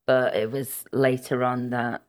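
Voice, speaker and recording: A middle-aged woman with a Welsh-tinged Liverpool accent, a native Welsh speaker, chatting as a podcast guest. She speaks softly with short replies and frequent hesitations. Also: rumbling low, level tone, a little slow. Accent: Welsh-influenced Liverpool English. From Liverpool, England. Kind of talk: casual interview chat.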